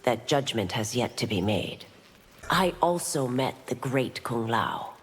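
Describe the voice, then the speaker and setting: Skeptical warrior constable, deep female register, expressive intonation, curt, game banter, long reverb. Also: a little slow, breathy edge, exaggerated voice. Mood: stern. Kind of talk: video game character banter.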